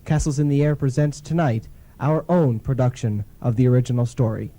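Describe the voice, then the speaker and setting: A man hosting a radio show, reading a scripted introduction in a measured, formal announcing manner. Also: mid-range voice, steady tone, warm tone.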